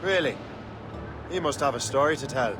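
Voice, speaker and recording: An actor playing an earnest, dry-witted young sea captain in a video game, speaking directly. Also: male voice, mid-range voice, normal speed, over music, lightly acted. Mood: curious. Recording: loud boat and sea noise.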